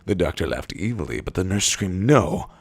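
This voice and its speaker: A man's voice: low-pitched, expressive, brisk, gravelly. A young man reading internet posts aloud in a mock-serious way, with a foul-mouthed streak. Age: young adult. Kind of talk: dramatic mock-serious reading and rambling commentary.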